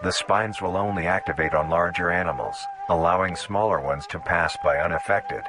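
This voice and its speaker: Very deep-voiced male documentary narrator. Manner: measured, formal, instructional. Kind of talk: scripted narration of a mock nature documentary. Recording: voice over background music.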